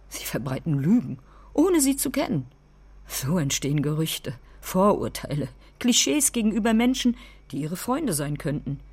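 A reproachful mother in a children's radio drama. Her voice is low and husky, and she lectures with indignant emphasis. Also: a little mellow, exaggerated voice. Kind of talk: children's radio play acting.

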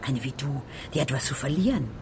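An actress playing a coarse, hateful female blackmailer in a German radio drama, loud, taunting and confrontational. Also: extremely low pitch, expressive, fairly quick, big-room sound, background noise. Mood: menacing. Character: coarse, menacing female blackmailer full of hatred.

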